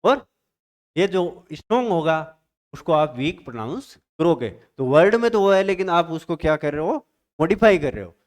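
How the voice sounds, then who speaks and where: A male teacher giving an exam-prep literature lecture, explaining step by step, reading definitions slowly and checking in with his students. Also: a little high, animated. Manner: reads English definitions slowly.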